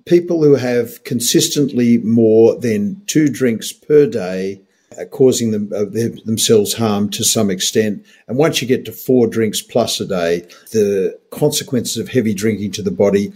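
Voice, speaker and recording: An Australian man in his sixties speaks as a doctor on a health podcast. His voice is fairly low and a little husky. He talks emphatically, with firm opinions and a wry sense of humour. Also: measured pace, dark tone.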